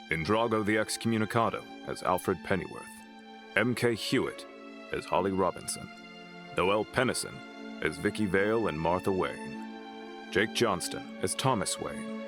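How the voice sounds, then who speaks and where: Low-voiced man reading credits over music. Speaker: credits announcer. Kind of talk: scripted credits narration for an audio drama. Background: music behind the voice.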